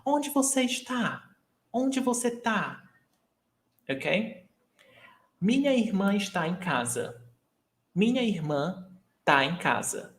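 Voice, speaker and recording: Male language teacher, unhurried and methodical, repeats his examples, recorded in an echoey room. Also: high-pitched, big pitch swings, a bit bright. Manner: slow and deliberate, repeating each example sentence. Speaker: Portuguese teacher. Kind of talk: language lesson, mixing English and Portuguese.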